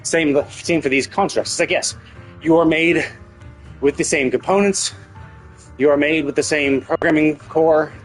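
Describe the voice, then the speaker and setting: A man with a husky voice giving casual, rambling livestream commentary, thinking aloud and often rephrasing his own questions. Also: on the high side, steady tone, unhurried, soft background music, rough recording. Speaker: livestream host.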